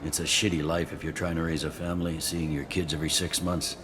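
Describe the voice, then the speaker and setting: A pragmatic human police captain in a sci-fi game. His voice is low and his delivery is wry, blunt and matter-of-fact. Clear ambient noise sits behind him. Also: flat delivery, fast. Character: gruff, pragmatic human male police captain on a space station. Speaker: security captain character. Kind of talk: video game dialogue.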